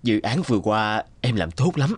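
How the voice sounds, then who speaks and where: Suave, low-toned company boss. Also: male voice, sing-song, normal speed, a little hiss or hum. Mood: flattering. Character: smooth, insistent middle-aged company boss.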